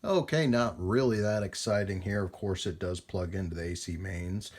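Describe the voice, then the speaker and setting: A man with a low, husky voice talks through a piece of old equipment. He is casual and folksy, wandering a little and pausing as he works, like someone showing off gear at his own bench. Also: darkish tone. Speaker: host demonstrating vintage radio equipment. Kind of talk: casual hands-on vlog narration.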